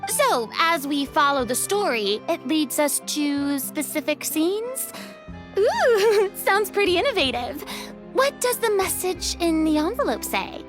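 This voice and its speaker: An actress playing a sweet, curious young woman in a video game, talking in a gentle, eager, chatty way. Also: very high voice, big pitch swings, measured pace, full character voice. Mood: delighted, curious. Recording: music behind the voice.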